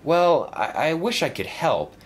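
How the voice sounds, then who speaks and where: A man voicing a friendly female engine, eager and caring, in a high voice. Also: sing-song, a little slow. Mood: concerned.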